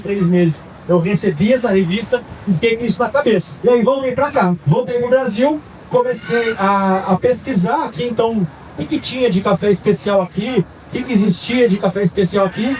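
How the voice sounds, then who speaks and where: A man's voice: sits high, a little mellow, far from the mic, a little hiss or hum, rough recording. A man in his thirties, telling a story to a live audience in a chatty way full of asides. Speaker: coffee business founder giving a talk.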